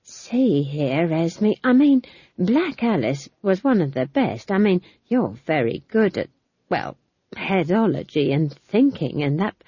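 A woman's voice: on the low side, sing-song, takes it slowly, mellow, breathy edge, no room sound, highs cut off, heavily acted. A woman voicing a bawdy, cheerful old village witch who rambles and gossips, reading for an audiobook. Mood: awed. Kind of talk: audiobook character voice.

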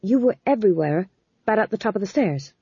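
An audiobook reader voicing a nervous, quick-witted male court fool who speaks hastily and eagerly, in a dull lo-fi recording. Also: mid-range voice, lively intonation, dark tone, slightly rough, no echo. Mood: hasty. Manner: hasty, eager to please.

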